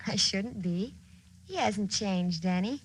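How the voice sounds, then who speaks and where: A woman's voice: medium pitch, animated, slow pace. An actress playing a modest, admiring young sweetheart of an airman, in 1940s radio style. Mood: shy, admiring. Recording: noisy old radio recording.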